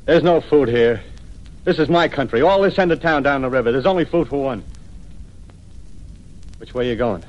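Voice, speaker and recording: A man's voice: medium pitch, animated, moderate pace, long pauses, lightly acted. A muffled 1938 radio recording carries an actor as an embittered American militiaman. He is a wary, fanatical survivor who talks in clipped, colloquial bursts, a man ranting about how to stay alive in classic radio-play style. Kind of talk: 1930s radio drama acting.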